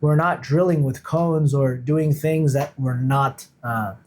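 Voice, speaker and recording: A man's voice: medium pitch, slow, darkish tone, a little hiss or hum. A man talking casually as an interview guest, explaining his points informally and emphatically like a coach. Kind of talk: casual conversational interview talk.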